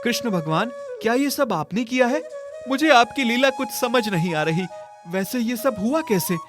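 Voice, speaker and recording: An actor plays a poor orphan boy in a cartoon moral tale, devout and kind-hearted. He speaks with earnest wonder at a middle pitch, over clearly audible music. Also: expressive, normal speed, close to an everyday voice. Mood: bewildered. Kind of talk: children's cartoon story dialogue.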